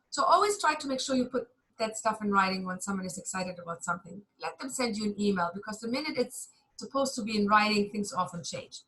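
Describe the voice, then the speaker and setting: A woman's voice: a little high, bright, forceful tone, poor audio. A German woman lecturing a university class over a video call, chatty and candid, with some self-deprecating humor.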